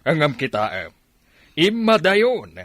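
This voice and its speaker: Older Ilocano father, radio drama. Insistent, emphatic, longing for a grandchild; lively pitch, close dry recording. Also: slow pace, no room sound, exaggerated voice. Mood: exasperated.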